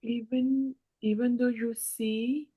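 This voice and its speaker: A woman with a fairly high voice works through a text as a student. She reads and translates in short, tentative pieces, often halting and repeating a word before going on. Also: level tone, mellow, poor audio. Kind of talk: hesitant reading and translating in a lesson.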